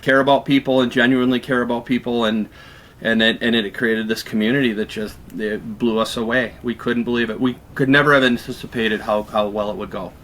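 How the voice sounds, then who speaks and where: A man's voice: mid-range voice, fairly even intonation, fairly quick, fairly bright tone, poor audio. A man from Minnesota with a Minnesotan accent, a guest musician talking in an easygoing, rambling way full of fillers. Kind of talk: casual interview conversation.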